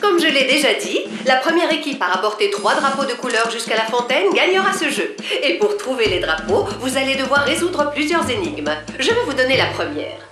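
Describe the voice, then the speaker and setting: A woman's voice: sits high, big pitch swings, brisk, hardly any pauses, fairly bright tone, roomy sound, soft background music. A woman voicing a strict, self-important adult campus director in a cartoon, announcing the rules in a pompous manner. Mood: stern.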